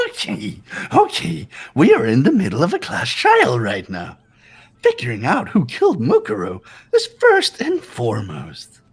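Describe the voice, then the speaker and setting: A man's voice: fairly high pitch, wildly swooping pitch, unhurried, husky, heavily acted. A man voicing a mocking, officious robotic bear for a fan-dubbed video game, in a theatrical, mock-polite manner. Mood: gleeful, mocking. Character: gleeful, mocking robotic bear overseeing a deadly trial. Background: game music.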